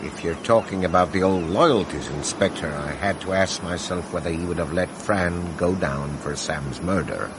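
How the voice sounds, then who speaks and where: A grave, reflective man in a radio drama. His voice is very low, heard with noticeable background noise. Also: normal speed, hardly any pauses. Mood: sombre.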